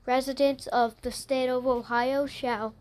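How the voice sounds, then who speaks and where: A woman making formal, halting and repetitive emergency announcements in an amateur alert broadcast. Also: high-pitched, little pitch movement, a little mellow.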